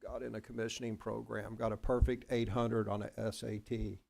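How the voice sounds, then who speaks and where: A man from Oklahoma, a school board member, talking at a board work session in a rambling, halting way with many restarts and a self-deprecating manner. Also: on the low side, little pitch movement, gravelly, a little mellow. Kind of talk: board meeting discussion.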